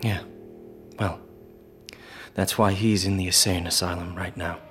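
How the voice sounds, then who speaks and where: A tough, practical trooper in a radio play, grim and steady, with a mid-range voice. Music plays under the dialogue. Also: male voice, deep voice, measured pace. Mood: haunted. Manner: grim, practical, rising to panic. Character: hardened trooper Duclair, war veteran man.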